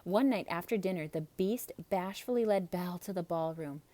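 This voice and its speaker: A woman reading a children's story aloud in an expressive, theatrical narrating style. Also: lowish voice, normal speed, no echo. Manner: expressive, dramatic.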